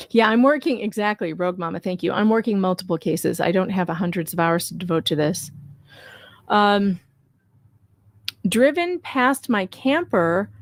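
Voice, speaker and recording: A woman's voice: mid-range voice, no room sound. A woman hosting a live commentary stream on a legal case, casual but argumentative and skeptical, repeating points for emphasis. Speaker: livestream commentator and video host. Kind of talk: casual live commentary on a legal case, reading claims aloud and rebutting them.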